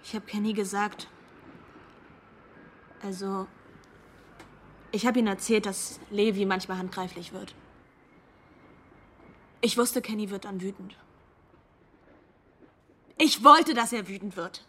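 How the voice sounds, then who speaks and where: A woman's voice: medium pitch, takes it slowly, long pauses, bright, forceful tone, slight background noise. An actress playing a spiteful, heartbroken young woman who speaks in blunt confessions in a radio drama. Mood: confessing. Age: young adult. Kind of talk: German radio drama acting.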